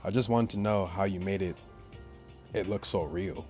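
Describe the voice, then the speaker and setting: A man with a very low voice reads a visual novel aloud as a gaming let's play. His commentary is slangy and joking, and game music plays behind him. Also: moderate pace, darkish tone. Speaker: gaming YouTuber.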